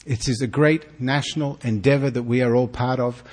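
A man with an Australian accent giving an earnest, halting welcome speech to an evening audience, often restarting his words. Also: medium pitch, steady tone, a little mellow. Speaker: consul general giving opening remarks.

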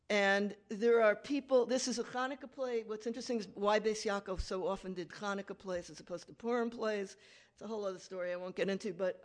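A woman lecturer giving a conversational academic talk with slides, correcting herself and making asides to the audience. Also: medium pitch.

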